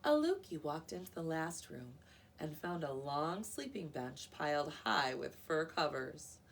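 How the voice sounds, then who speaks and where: A woman with a fairly low, lively voice and some creak reads a storybook to small children. She is expressive and pauses to put questions to her listeners, recorded a little way from the mic. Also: recorded from a distance, a little hiss or hum. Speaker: preschool teacher. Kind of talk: animated read-aloud of a picture book to young children.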